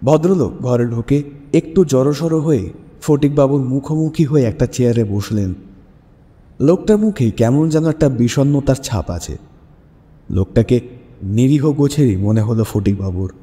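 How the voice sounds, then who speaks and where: A male story narrator with a very dark, mellow tone at a medium pitch. He reads scripted dramatic prose as the host. His voice carries a long reverb over a music bed. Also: lively intonation, moderate pace.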